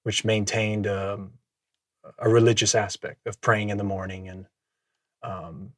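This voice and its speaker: Male podcast guest, Samoan first language, deep register, dry sound, reflective storyteller. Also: flat delivery, a bit bright, no echo. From Minnesota, USA. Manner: reflective, measured, laughs often. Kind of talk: casual conversational storytelling.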